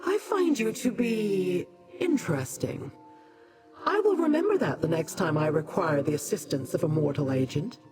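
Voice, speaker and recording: Video game immortal played by an actor: lofty, imperious, mid-pitched, very varied intonation. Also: lively intonation, normal speed, over music, close to an everyday voice. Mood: lofty interest. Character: lofty immortal being, condescending toward mortals, intrigued. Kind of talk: scripted video game dialogue.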